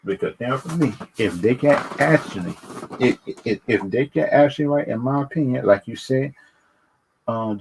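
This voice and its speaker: A man's voice: medium pitch, creaky, darkish tone, slight background noise, rough recording. A man chatting casually and unscripted to viewers as a livestream host, stammering and repeating his phrases as he gives opinions. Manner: halting, repetitive, stammering over phrases.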